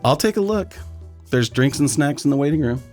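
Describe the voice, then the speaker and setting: An actor playing a friendly, easygoing auto mechanic with a casual, chummy manner in a radio drama. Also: male voice, fairly high pitch, lively intonation, fairly quick, darkish tone, faint music behind, close to an everyday voice. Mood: friendly, curious.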